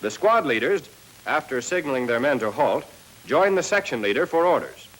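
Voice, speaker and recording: An American man narrating a 1940s military training film, reading a scripted lesson and addressing trainees directly with clear instructions. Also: mid-range voice, very animated, moderate pace, a bit bright, slight background noise, rough recording.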